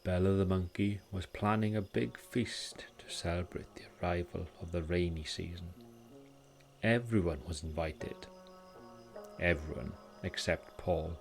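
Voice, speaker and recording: Deep, slow, creaky Welsh man. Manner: gentle, calming delivery. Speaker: bedtime story narrator and host. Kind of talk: soothing scripted bedtime storytelling. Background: music.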